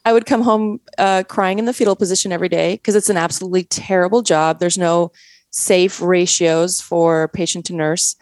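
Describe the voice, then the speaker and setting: A woman's voice: on the low side, fairly even intonation, moderate pace, darkish tone, dry recording. A woman in her forties from Canada, with a Canadian accent, talking casually as an interview guest, full of fillers and restarts. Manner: chatty, many fillers and restarts.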